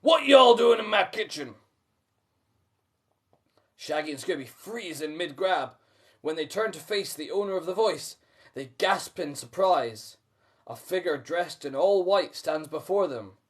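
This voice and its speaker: A man's voice: fairly high pitch, animated, unhurried. A man reading a storybook aloud as host, with chatty asides to listeners.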